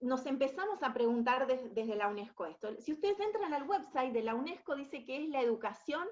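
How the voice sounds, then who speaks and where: A woman's voice: high-pitched, lively intonation, a little fast, dull audio. A woman in her thirties from Argentina with an Argentine accent, giving an explanatory talk as an education specialist, often checking in with her listeners and restarting her phrases. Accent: Argentine Spanish. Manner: explanatory, frequent tag questions and restarts. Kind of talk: presentation talk.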